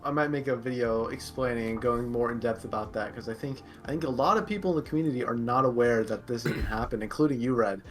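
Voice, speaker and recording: A man's voice: mid-range voice, quick pace, few pauses, soft background music. A man in his twenties hosting a podcast, chatting casually and asking easygoing follow-up questions. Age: 20s. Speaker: podcast host. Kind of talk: casual chat.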